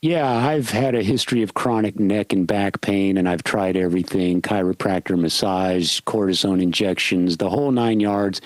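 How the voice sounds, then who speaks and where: A man with a lowish voice hosts a podcast in relaxed conversation. He talks in an easygoing, steady way. The sound is dry and close, with no room echo. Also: moderate pace, few pauses. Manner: easygoing, steady, introduces guest at length. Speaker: podcast host. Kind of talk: casual podcast chat.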